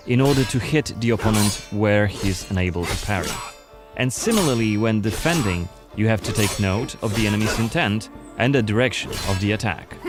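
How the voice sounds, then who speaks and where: A man presenting a game demo in a scripted narration style. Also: lowish voice. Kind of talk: scripted gameplay showcase narration. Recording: music under the voice. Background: background music.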